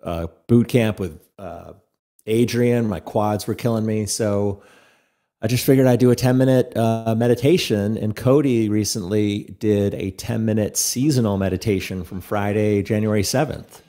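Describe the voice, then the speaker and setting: Casting: man in his thirties, low and mellow, easygoing host at a close mic. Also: moderate pace. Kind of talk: casual chat. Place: small room at home. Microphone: studio mic close up.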